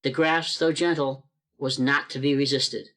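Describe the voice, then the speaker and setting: A man's voice: mid-range voice, steady tone, unhurried, a bit bright. A man narrating a radio play as a scripted storyteller.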